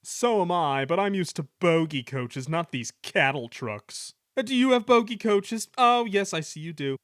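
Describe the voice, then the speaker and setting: A man's voice: high-pitched, animated, normal speed, fairly bright tone, no echo, clean studio sound, voice pushed up. Man voicing a pompous, grumbling storybook steam engine. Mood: haughty. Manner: pompous, sulky complaining. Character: haughty, grumbling, conceited male steam engine. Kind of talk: children's storybook character voice.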